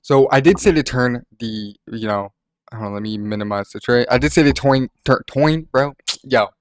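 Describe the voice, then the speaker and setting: A man's voice: medium pitch, moderate pace, clear, resonant tone, dry recording. Casual man, dry close-up sound. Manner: informal, rambling. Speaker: gaming content creator. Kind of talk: casual tutorial narration.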